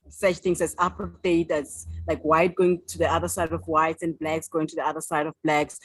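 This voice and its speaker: South African woman in her twenties, upbeat guest author, low-ish pitch, dry recording. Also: dry recording. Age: 20s. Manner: enthusiastic, upbeat, laughs easily. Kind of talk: casual interview chat.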